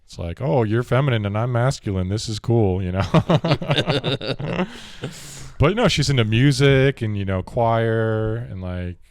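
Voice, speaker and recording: A young man with a deep voice, a podcast host telling a rambling story in casual chat and laughing often. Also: expressive, measured pace, no room sound.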